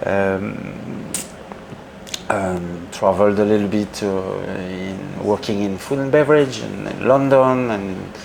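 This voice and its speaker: Male wine educator, French accent, low voice, modest and halting conversational delivery, audible background noise. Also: fairly even intonation, creaky. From northeast France. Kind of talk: casual interview chat, telling anecdotes.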